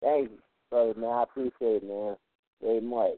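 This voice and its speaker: A man's voice: medium pitch, fairly even intonation, fairly quick, no echo. Man on a narrow phone line. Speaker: radio show caller. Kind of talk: brief grateful replies.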